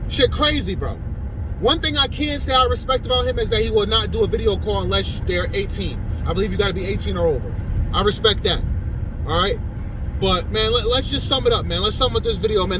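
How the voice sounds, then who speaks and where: A man's voice: extremely high pitch, noisy background. A man in his thirties giving a blunt, rambling commentary vlog, repeating phrases and tacking "man" onto his sentences. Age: 30s. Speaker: YouTuber.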